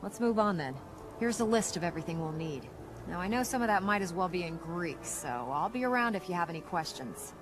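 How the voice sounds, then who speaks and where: A woman's voice: lowish voice, lively intonation, fairly quick, background noise, natural voice. A woman playing a blunt, wry, confident military engineer in a video game cutscene. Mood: businesslike, wry. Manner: blunt, sardonic, businesslike. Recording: game audio under background music.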